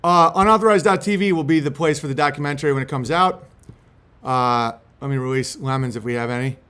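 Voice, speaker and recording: A man's voice: mid-range voice, brisk, a bit bright, clear, resonant tone. A man chatting casually in slangy, sweary language as a host, partly reading a letter aloud. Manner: laid-back slangy talk with frequent swearing.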